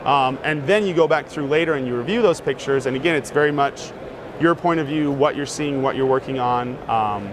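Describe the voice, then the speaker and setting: A man casually demonstrating a gadget in an interview, relaxed and full of fillers. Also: mid-range voice, brisk. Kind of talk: casual demo talk. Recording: noisy outdoor street sound.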